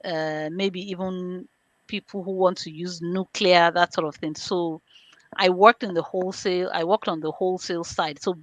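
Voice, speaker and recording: A Nigerian-accented woman with a fairly low voice, recorded close and dry. She speaks as an interview guest, explaining conversationally in plain, step-by-step terms. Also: steady tone, normal speed, no echo. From born in London, grew up in Nigeria. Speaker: interview guest, information governance specialist.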